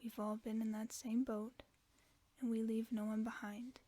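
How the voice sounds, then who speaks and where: A gentle, protective werewolf friend, played by a woman, in a sleep-aid audio roleplay. The voice is a soft, breathy whisper, pitched a little high, with a mellow tone. It sits close to the microphone. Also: flat delivery, moderate pace, no echo, close to an everyday voice. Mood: soothing.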